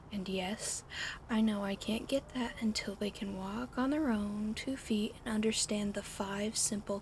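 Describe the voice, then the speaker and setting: A woman speaking in a soft, coddling ASMR whisper, gentle and soothing. Her voice has a gravelly, fried texture at a middle pitch, and a steady fan hum sits clearly behind her. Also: low-quality recording. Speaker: ASMR roleplay narrator. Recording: audible fan hum in background.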